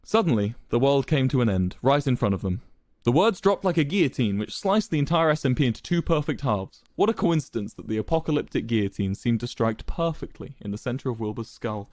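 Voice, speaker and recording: A man's voice: medium pitch, very animated, fairly quick, darkish tone, no echo. A man narrating a scripted story in a dramatic style.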